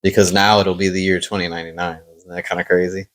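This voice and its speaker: A man's voice: deep voice, little pitch movement, fast, a bit bright. A man chatting casually about superhero movies, rambling with lots of fillers. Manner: rambling, hesitant with many fillers. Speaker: podcast host.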